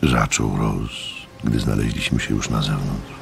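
Radio drama narrator: reflective eyewitness, very deep and creaky, over faint music. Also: male voice, little pitch movement, measured pace, heavily acted. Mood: grave, observant. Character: thoughtful male narrator, sceptical yet impressed witness.

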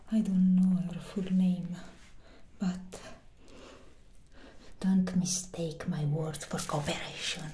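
A woman playing a defiant, anguished captive vampire hunter in a soft-spoken ASMR roleplay, with a breathy whisper and halting, strained delivery. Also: on the low side.

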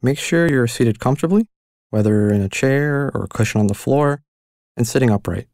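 A man leading a guided mindfulness meditation with slow, gentle and soothing instructions. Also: mid-range voice, no room sound, studio quality.

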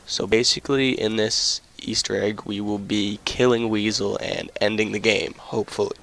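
A man's voice: on the low side, fairly even intonation, normal speed, gravelly, fairly bright tone. A man narrating a casual video game walkthrough.

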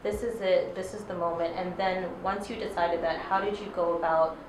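A woman moderating a live artist talk, asking long, measured questions into a handheld mic. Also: lowish voice, steady tone. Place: small gallery room. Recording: roomy with noisy background.

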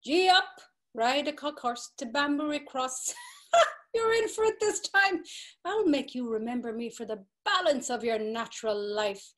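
An actress playing a domineering brothel madam, commanding and mocking, in a dramatic reading over a video call. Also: female voice, sits high, animated, unhurried, a bit bright. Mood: taunting. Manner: commanding, taunting. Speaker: stage actor.

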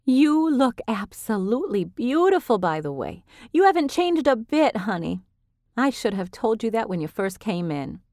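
A woman's voice: a little high, very animated, moderate pace, a little mellow, no room sound, clean studio sound, pitched above the performer's own voice. A woman voicing a gushing, doting aunt. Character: affectionate, touchy-feely middle-aged aunt.

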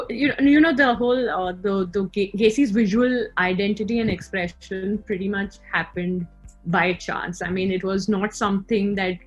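A woman with an Indian accent answers interview questions in a reflective, conversational way. Her voice sits at a middle pitch, and she feels her way through her thoughts with some hesitation. She is on a video call, with music playing behind her. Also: poor audio. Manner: conversational, hesitant, thinking aloud. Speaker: interview guest, co-founder of a queer community platform. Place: small room indoors, on a video call. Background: background music.

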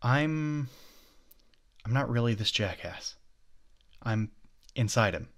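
A man in an ASMR roleplay playing an obsessive, possessive young stalker, with an intimate, halting delivery that slips into breathy whispers. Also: medium pitch, lively intonation, a bit bright. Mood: confessional, rambling.

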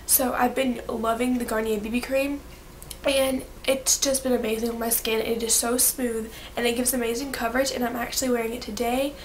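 A woman's voice: fairly high pitch, little pitch movement, a little hiss or hum, low-quality recording. A young woman with a stuffy, cold-affected voice, gushing about her favorite products in a casual vlog recorded in her bedroom. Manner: bubbly, gushing, quick asides. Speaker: beauty vlogger. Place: bedroom, indoors.

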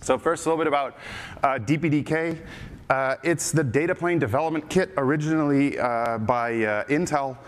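A man's voice: mid-range voice, normal speed. A middle-aged man from the Netherlands with an American-sounding English accent, giving a casual technical conference talk on stage, full of filler uh's and restarts. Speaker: conference speaker. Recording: reverberant hall with faint background noise.

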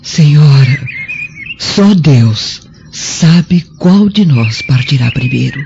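A woman playing a loyal, devout maidservant to a Roman lady in a radio drama, respectful and gentle. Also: sits low, lively intonation, slow pace, exaggerated voice. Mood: gentle, consoling. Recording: muffled audio under faint music.

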